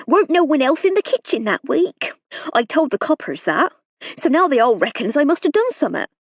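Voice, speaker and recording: A woman voicing a nervous, toothless serving woman who lisps and speaks in rough rustic dialect. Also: high-pitched, sing-song, a little fast, no room sound, highs cut off, voice pushed up. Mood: anxious pleading. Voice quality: lisping through missing teeth. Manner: rustic dialect, anxious and pleading.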